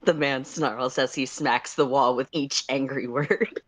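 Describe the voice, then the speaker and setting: A man's voice: mid-range voice, steady tone, measured pace, fairly bright tone, no room sound. A man co-hosting a livestream in a casual style, as he chats and reads fan fiction aloud. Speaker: co-host and story narrator.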